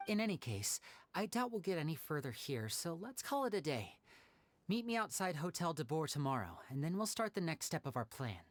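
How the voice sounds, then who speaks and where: An actor voicing a young male stage magician in a video game, talking in a gentle, persuasive, measured way. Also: mid-range voice, big pitch swings, a little hiss or hum, lightly acted. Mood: calm.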